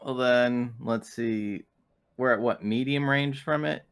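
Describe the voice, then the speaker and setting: Male player, medium-pitched voice, casual tabletop game talk. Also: slow pace, clear, resonant tone.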